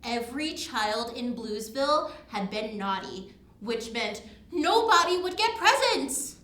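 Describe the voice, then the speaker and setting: High, slow, expressive woman storyteller. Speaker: storybook reader. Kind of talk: expressive children's storybook reading. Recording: echoey room, distant mic, faint noise.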